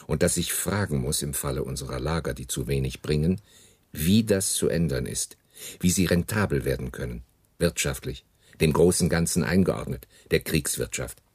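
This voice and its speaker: A coldly insistent Nazi official in a radio drama. The voice is extremely deep and husky, recorded dry. His delivery is formal and methodical. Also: moderate pace, darkish tone, no room sound, exaggerated voice. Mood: officious, insistent. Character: measured, officious male Nazi economic bureaucrat, coldly insistent. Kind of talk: German radio play acting.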